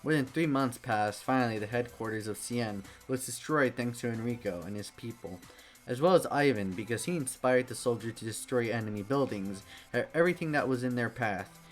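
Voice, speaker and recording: Guy narrating a fan story, plain even reading, soft music behind. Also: medium pitch, fairly quick.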